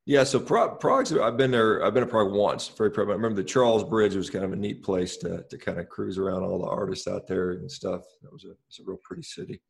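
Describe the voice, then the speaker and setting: A man co-hosting a podcast, asking questions in a casual, halting way and often repeating words as he goes. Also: lowish voice, vocal fry, husky. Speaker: podcast co-host and interviewer. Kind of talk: casual interview over a call.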